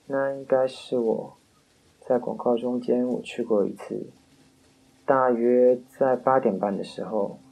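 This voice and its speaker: A man performs an easygoing young male student in a radio mystery. His mid-pitched voice is dark and gravelly at the edges, and his manner is cooperative. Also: fairly even intonation, measured pace, poor audio. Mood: explanatory. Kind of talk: mystery radio drama acting.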